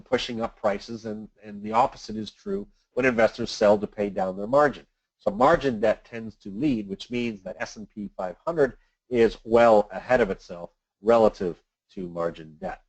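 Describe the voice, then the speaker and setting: Low-pitched, creaky-voiced man giving market commentary. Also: poor audio. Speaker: financial analyst hosting a weekly market briefing. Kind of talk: semi-scripted market commentary.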